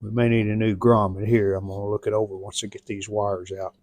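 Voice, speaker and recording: A man's voice: lowish voice, level tone, a little fast, a little mellow, no room sound. An American man narrating hands-on repair work in a folksy, plainspoken way, thinking aloud as he goes. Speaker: host of a radio restoration channel.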